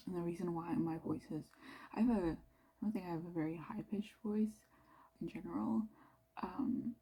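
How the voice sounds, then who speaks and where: A young Canadian woman with a low-ish voice, chatting quietly and rambling about her reading, with plenty of "like". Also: normal speed, husky, low-quality recording. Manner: talking quietly, near-whispered; rambling, filler-heavy with "like". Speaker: book vlogger. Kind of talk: casual chat.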